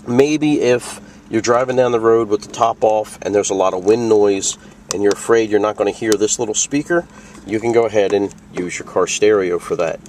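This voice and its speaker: A man's voice: fairly low pitch, normal speed, darkish tone. Man giving a casual tutorial, distant noisy recording. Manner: plain-spoken, explanatory, step by step. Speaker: video host demonstrating a radio.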